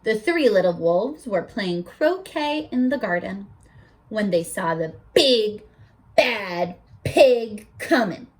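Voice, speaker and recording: A teacher reading a picture book aloud to children, animated and stopping often to ask them questions. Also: female voice, medium pitch, slow pace.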